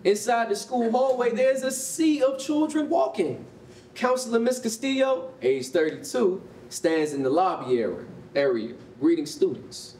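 A man's voice: extremely high pitch, animated, a little slow, darkish tone. An American man reading scripted stage directions as narrator at a staged reading. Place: concrete-walled rehearsal hall. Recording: roomy, distant mic, faint noise.

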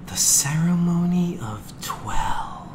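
A man voicing a sweet, playful little girl in a storybook, drawn out and breathy. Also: high voice, expressive, slow, background noise, pitched above the performer's own voice. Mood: awed.

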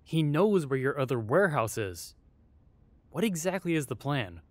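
A man's voice: fairly high pitch, big pitch swings, moderate pace, dry recording. A man playing a blunt, sardonic, needling male ally in an audio roleplay drama. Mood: blunt, challenging.

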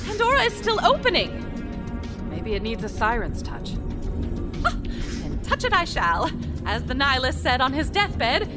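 Grandiose, high-voiced eccentric scientist. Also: female voice, huge pitch swings. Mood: awed, grandiose. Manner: grandiose, deliberate, formal phrasing. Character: eccentric, theatrical woman scientist wielding strange power. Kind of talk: video game voice acting. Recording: loud music over voice. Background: loud game music.

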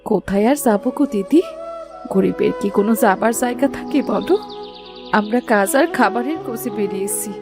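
A woman's voice: medium pitch, a little fast, music in the background, close to an everyday voice. A woman voicing a poor village mother in a cartoon, with an anxious, pleading manner. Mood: plaintive. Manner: anxious, plaintive. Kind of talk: cartoon voice acting.

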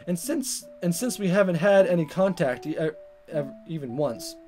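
A man reading a visual novel aloud as a let's player, in expressive narration with casual asides and stumbles that he corrects. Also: on the high side, moderate pace, soft background music. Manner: animated, stumbles over words and corrects himself.

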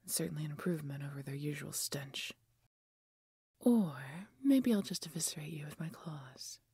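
Woman voicing a low, husky, haughty she-dragon. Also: mellow, dry recording, full character voice. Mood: musing, menacing. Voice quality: breathy, husky whispery growl. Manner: haughty, slow, deliberate threats. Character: proud, menacing wounded female dragon guarding her hoard. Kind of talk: fantasy audio roleplay, addressing the listener.

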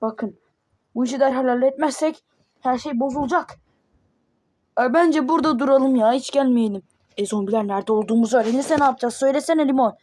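A woman voicing panicky kid characters in a homemade cartoon, with excitable exclamations. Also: high-pitched, brisk, no room sound. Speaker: cartoon voice performer.

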